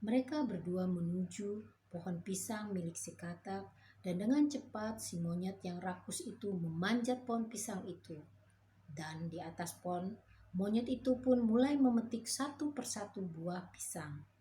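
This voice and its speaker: A woman's voice: mid-range voice, dark tone, slight background noise. Woman reading a children's fairy tale. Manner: slow, clear storybook narration. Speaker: storyteller.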